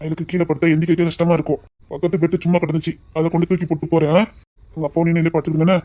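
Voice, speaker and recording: A woman's voice: sits low, level tone, racing, lo-fi sound. A woman playing a teasing young lover with a lilt. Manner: teasing, then softly tender. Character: young man courting his sweetheart, teasing. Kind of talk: amateur romantic drama.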